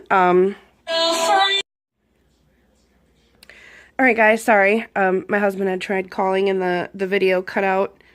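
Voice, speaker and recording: A hoarse woman, around her thirties, chatting casually with a lot of ums while showing her journal pages. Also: mid-range voice, a bit bright. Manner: rambling, chatty, with frequent ums. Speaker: YouTube vlogger. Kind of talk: casual journal flip-through.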